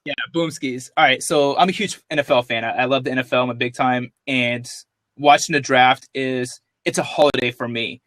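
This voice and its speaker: A man's voice: medium pitch, steady tone, fairly quick, fairly bright tone, dry recording. A man hosting a sports podcast, upbeat and loose, joking with his guest. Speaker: podcast host. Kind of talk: casual podcast chat.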